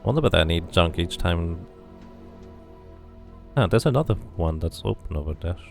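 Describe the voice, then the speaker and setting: A man's voice: deep voice, lively intonation, moderate pace, vocal fry, faint music behind. A man doing casual playthrough commentary, musing to himself and reading game text aloud. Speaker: video game streamer.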